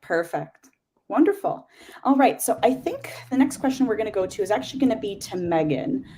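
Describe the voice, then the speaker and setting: Woman, panel host, chatty, effusive, soft mellow tone, medium pitch. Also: sing-song, a little fast. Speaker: event host and panel moderator. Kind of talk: hosting an online panel discussion.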